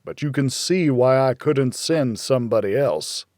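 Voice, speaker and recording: A man's voice: medium pitch, expressive, measured pace, darkish tone, dry recording, clean studio sound, close to an everyday voice. A man voicing a blunt, needling, worried older friend in a noir audiobook.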